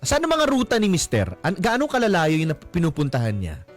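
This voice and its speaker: A Filipino man hosts an advice show with a very animated, varied intonation. He counsels conversationally, dropping English phrases into his talk. Faint music runs underneath. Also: high voice, a little fast. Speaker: radio advice show host.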